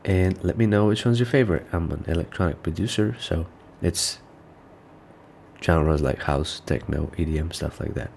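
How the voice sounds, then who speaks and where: A man's voice: deep voice, moderate pace, creaky, a little mellow, slight background noise. A man hosting a casual reaction video, nasal and stuffed up from allergies, rambling as he thinks aloud. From North Macedonia.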